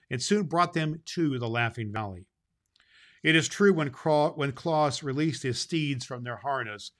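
A man hosting and reading a Christmas storybook aloud to children in an expressive way. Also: medium pitch. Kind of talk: storybook read aloud with informal host talk.

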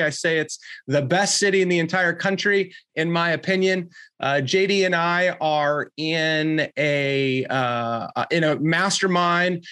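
A man's voice: on the high side, bright, forceful tone. A man hosting a podcast, chatty and upbeat with lots of fillers, sounding stuffy and nasal from a recent illness. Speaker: podcast host. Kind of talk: casual podcast interview.

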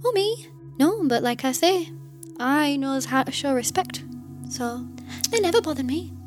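A chatty, superstitious Geordie cleaning woman, voiced by a woman in a high, bright register. She hums and draws out her "mm-hmm"s in a cheerful, folksy way over faint background music. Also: lively intonation, measured pace.

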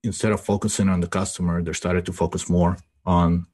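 A man's voice: sits low, level tone, fairly quick, darkish tone, husky. A man in his forties from Ukraine, a guest in a casual podcast interview, rambling and tagging his sentences with "you know" and "right?". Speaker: guest, IT business owner.

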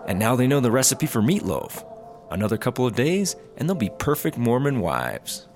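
An earnest, gullible young-at-heart startup worker in a comedy audio drama. His pitch leaps around excitably and he overplays every line. Music plays clearly behind him. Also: moderate pace. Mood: relieved, satisfied.

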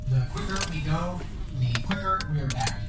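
A man's voice: a little high, lively intonation, moderate pace, very noisy, natural voice. An actor playing a dry, sardonic male artificial intelligence aboard a research ship in an audio drama. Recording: echoey voice with music behind.

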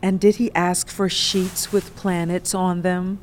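A woman's voice: on the low side, flat delivery, unhurried, slight background noise, natural voice. An actress playing a guarded, weary daughter back in her hometown in an audio drama, terse and noncommittal. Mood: awkward, resigned. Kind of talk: naturalistic audio drama acting.

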